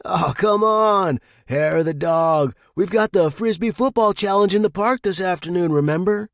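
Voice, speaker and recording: A man voicing a brash young party buddy in audio fiction, loud and slangy, teasing and egging a friend on. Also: sits high, dry recording, clean studio sound, pitched above the performer's own voice. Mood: coaxing. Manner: shouting over crowds, slangy, drawn-out words. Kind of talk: audio fiction character acting.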